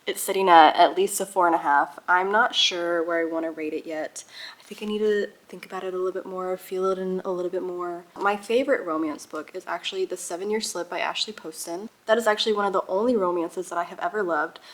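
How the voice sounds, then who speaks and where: A woman's voice: lowish voice, steady tone, fast talker. A young woman chatting casually to viewers as a book vlogger, with a gushing, giggly and emphatic manner. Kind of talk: casual reading vlog chat.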